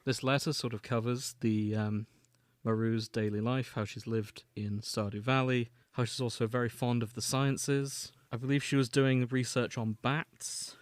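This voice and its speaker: A man's voice: mid-range voice, fairly even intonation, moderate pace, hardly any pauses, dry recording. A man co-hosting a podcast, chatting casually about fanfiction and reading passages aloud. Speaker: podcast co-host.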